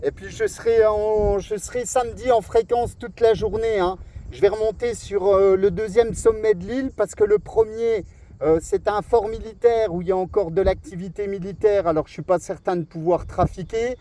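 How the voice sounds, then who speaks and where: A man's voice: high-pitched, fairly even intonation, normal speed, dark tone. A man in a casual radio chat, speaking as an amateur operator from outdoors. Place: outdoors on a seaside cliff.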